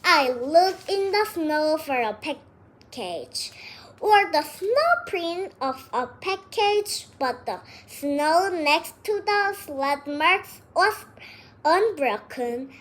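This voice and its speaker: A child reading a detective story aloud word by word, with halting breaks between phrases. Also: sits high, expressive, fairly bright tone.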